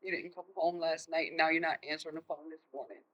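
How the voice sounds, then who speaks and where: A woman playing a worried, guilt-ridden mother in a stage play, pleading and halting. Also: sits low, fairly even intonation, highs cut off.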